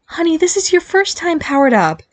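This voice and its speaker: Woman playing a firm, animated fairy mother. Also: very high voice, normal speed, dry recording, voice pushed up. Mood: soothing. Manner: insistent, soothing yet firm. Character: firm, adamant fairy mother, sympathetic yet stern. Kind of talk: audiobook character acting.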